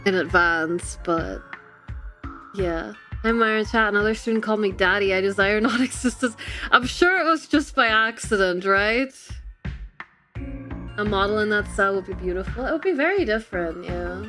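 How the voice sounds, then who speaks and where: A woman hosting a casual livestream, talking in an expressive and chatty way. Her voice sits at an ordinary female pitch, with music playing behind her. She comes across as giggly and informal, as if talking directly to a chat. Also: normal speed. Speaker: livestream host and artist. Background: background music.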